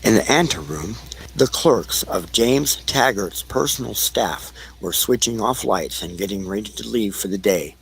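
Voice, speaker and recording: Low-pitched, gravelly male narrator. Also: lively intonation, unhurried, a bit bright, poor audio. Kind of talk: amateur scripted narration of an audio play.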